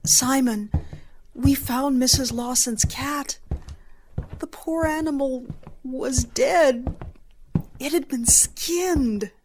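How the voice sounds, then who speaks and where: A woman's voice: high voice, slow pace, long pauses, darkish tone, a little hiss or hum, low-quality recording. An actress playing a polite female family friend in an audio drama. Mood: grave.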